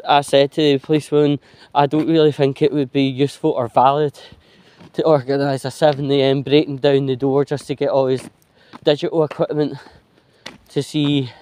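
A Scottish man rambling casually in a halting way, with long mid-sentence pauses, recording himself on a phone as he walks along a street. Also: medium pitch, a little mellow, smooth. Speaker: vlogger. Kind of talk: rambling casual walking monologue. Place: outdoors, walking along a street pavement. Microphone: handheld phone while walking. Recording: outdoor phone recording with traffic noise.

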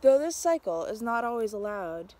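A young adult woman reading a picture book aloud outdoors, with a measured, expressive storytelling delivery. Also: a little high, a little hiss or hum. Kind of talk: storybook read-aloud, narrated as a tree. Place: outdoors in snowy winter woods.

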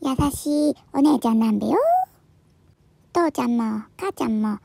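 A woman's voice: fairly high pitch, moderate pace, vocal fry, warm tone, breathy edge, no echo. A woman telling playful storybook tales about pet cats in theatrical, cutesy baby talk. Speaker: cat video narrator speaking for her pet cats. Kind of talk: playful storybook narration in cutesy cat-speak.

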